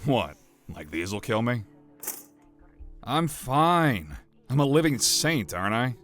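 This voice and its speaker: A man's voice: mid-range voice, big pitch swings, slow pace, fairly bright tone, faint music behind. An actor playing a cocky, battle-hardened guardsman who feels no pain, in a flippant, nonchalant way for an audio drama.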